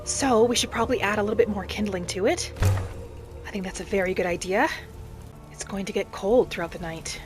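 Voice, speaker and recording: Lady roleplaying gentle elf girl in a fantasy game, earnest, mid pitch, thinks out loud, music in back. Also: brisk, close to an everyday voice. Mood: eager, pleased. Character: female Bosmer wood-elf adventurer, gentle, earnest, proud of her ways. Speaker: roleplay game player narrating in character. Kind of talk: in-character roleplay narration.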